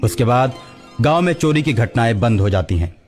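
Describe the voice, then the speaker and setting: A man's voice: medium pitch, expressive, fairly quick, darkish tone, soft background music. A man narrating a scripted cartoon story as the storyteller.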